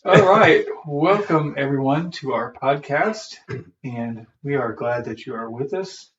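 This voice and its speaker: A relaxed man co-hosting a podcast, talking easily and laughing often. The sound is roomy and dull, as if he sits away from the microphone, with a faint hiss behind. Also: medium pitch, unhurried, far from the mic. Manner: easygoing, warm, laughs often. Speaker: podcast co-host. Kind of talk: casual chat.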